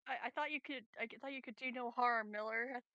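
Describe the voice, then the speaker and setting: A woman's voice: a little high, fast, bright, forceful tone, highs cut off. A woman chatting casually as a player in a tabletop roleplaying game. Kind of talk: casual chat.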